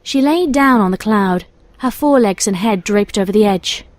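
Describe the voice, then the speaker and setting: A woman's voice: medium pitch, normal speed, no echo. A woman reading scripted story narration as the narrator of a tale.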